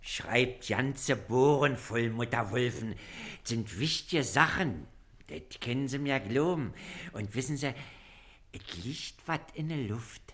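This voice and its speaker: An actor playing a simple, self-important constable in a radio play, with a Berlin accent and a ponderous, repetitive way of warning darkly. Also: male voice, medium pitch, expressive, slow pace, fairly bright tone. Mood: ominous, self-important. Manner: ponderous, repeats himself. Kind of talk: radio play dialect comedy acting.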